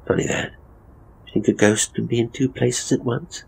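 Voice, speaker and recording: A man playing a hapless, theatrical ghost tour guide in a horror audio drama, British, uneasy and hesitant, with dramatic pauses. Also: medium pitch, steady tone, gravelly, a little hiss or hum, natural voice, low-quality recording. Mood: eerie, musing. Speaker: horror storyteller and voice actor. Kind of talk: dramatic first-person audio drama monologue.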